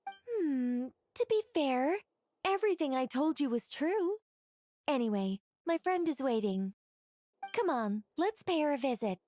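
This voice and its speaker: Playful high-voiced angel. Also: female voice, expressive, a little slow, dark tone, dry recording. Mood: coy. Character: playful, self-assured female angel. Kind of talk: video game voice acting.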